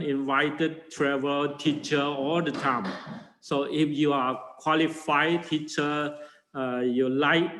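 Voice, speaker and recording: Older monk, slow and halting. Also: male voice, a little high, a bit bright, reverberant, slight background noise, rough recording. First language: Chinese. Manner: halting non-native English with many fillers and restarts. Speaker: Buddhist monk teaching a class. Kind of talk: informal dharma class talk. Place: classroom.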